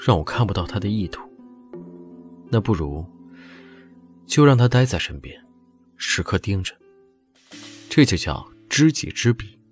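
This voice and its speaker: Casting: aloof elder prince, deep-voiced, composed and calculating, audio drama with soft music behind. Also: male voice, sing-song, unhurried, pauses often. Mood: cautious, composed.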